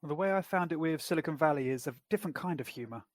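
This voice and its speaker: A man co-hosting a podcast, chatting casually and explaining plots in a measured way. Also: fairly high pitch, no room sound, studio quality. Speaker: podcast co-host. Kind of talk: casual chat.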